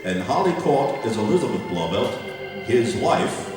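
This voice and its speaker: A man's voice: mid-range voice, very animated, moderate pace. A man announcing an old-time radio serial in a mock-grand, tongue-in-cheek scripted style. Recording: echoey hall, distant mic, loud music.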